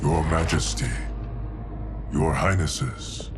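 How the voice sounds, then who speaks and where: A man playing a stoic, grave guardian of time in a video game, speaking formally and deliberately. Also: rumbling low. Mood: respectful. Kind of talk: dramatic video game cutscene acting. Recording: loud music behind the voice.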